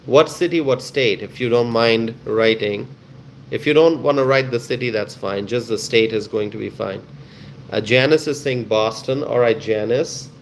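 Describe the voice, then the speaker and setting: A man's voice: medium pitch, moderate pace, a little hiss or hum. A man with a Bangladeshi accent hosting a casual live stream, chatting with viewers in a friendly, welcoming way and often repeating himself.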